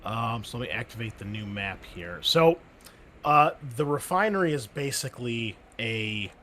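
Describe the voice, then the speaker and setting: A man's voice: medium pitch, animated, normal speed, bright, forceful tone, a little hiss or hum. A man narrating as game master of a tabletop role-playing game, rambling a bit with fillers as he describes the scene. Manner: loose, rambling with many fillers.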